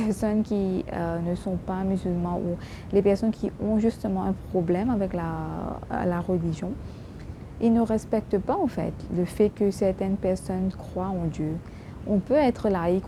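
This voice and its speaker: A woman vlogger speaking French with a strong non-native accent as an English speaker. She talks halting and earnest, restarting her sentences often. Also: medium pitch, background noise. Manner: halting, many restarts and fillers. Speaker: YouTube vlogger. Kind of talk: casual talk to camera.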